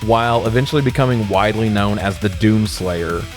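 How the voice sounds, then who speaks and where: A man's voice: fairly low pitch, a little fast, faint music behind. A man co-hosting a casual talk about movies and games, informal and sweary in his banter. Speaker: co-host.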